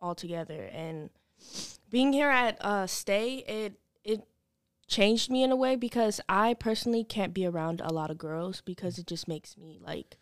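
A woman's voice: mid-range voice, moderate pace, clear, resonant tone, no room sound, studio quality. A woman in her early twenties talking candidly and informally as an interview guest. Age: early 20s. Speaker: podcast guest, program client. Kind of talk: candid personal conversation.

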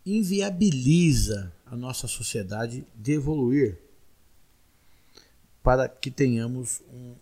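A man's voice: mid-range voice, creaky, dark tone, breathy edge. A man in his forties with a São Paulo Brazilian accent, answering interview questions as a candidate in an emphatic, repetitive, oratorical way. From Guarulhos, São Paulo, Brazil. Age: 40s. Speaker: political candidate being interviewed.

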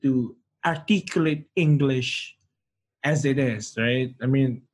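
A man's voice: mid-range voice, slow. A man, co-hosting a casual chat and asking probing questions.

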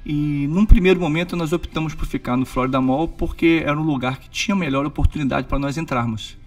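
A man from Rio de Janeiro with a Carioca accent, a shop owner calmly explaining his business in an interview, with hesitant fillers. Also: fairly high pitch, brisk, distant mic. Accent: Carioca Portuguese. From Rio de Janeiro, Brazil. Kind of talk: interview answers.

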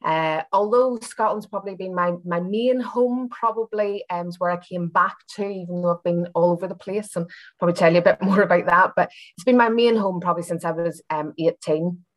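Belfast woman with a lowish voice. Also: fairly quick, rough recording. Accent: Belfast Northern Irish. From Belfast, Northern Ireland. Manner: chatty, hesitant, circling back with hedges. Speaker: university senior lecturer, interview guest. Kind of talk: casual reflective personal interview.

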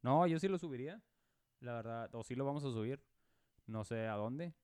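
A young Mexican man with a Mexican accent, co-hosting a casual podcast, joking and rambling in a relaxed way. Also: medium pitch, moderate pace, smooth, no room sound, clean studio sound. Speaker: podcast co-host. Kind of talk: casual chat.

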